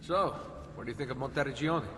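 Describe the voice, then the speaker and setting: An actor playing an easygoing, plain-spoken young assassin recruit in a video game, casual and understated. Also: male voice, medium pitch, fast, noisy background, natural voice. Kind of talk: video game cutscene acting. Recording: long hall reverb, music behind.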